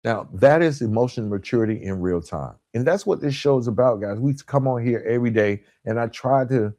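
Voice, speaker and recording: A man hosting a motivational self-help podcast, speaking in an emphatic, instructional way with deliberate pauses and stressed key words. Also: medium pitch, dark tone, no echo, studio quality. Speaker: podcast host.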